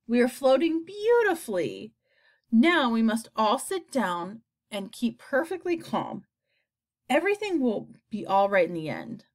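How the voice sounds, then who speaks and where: Woman reader as old male grasshopper, courteous elder, formal phrasing, lively intonation, storybook. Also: a little high, unhurried. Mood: reassuring.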